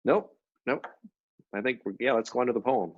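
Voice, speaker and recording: A man co-hosting a casual, reflective discussion, speaking in a measured, agreeable way with thoughtful pauses. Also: mid-range voice, breathy edge, muffled recording. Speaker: co-host of a family read-along discussion.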